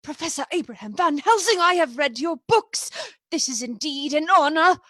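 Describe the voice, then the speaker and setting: A woman's voice: sits high, lively intonation, moderate pace, pushed and bright, no echo. A woman playing an agitated but courteous male asylum patient in a radio play, speaking in a formal, urgent manner. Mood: polite, unsettled.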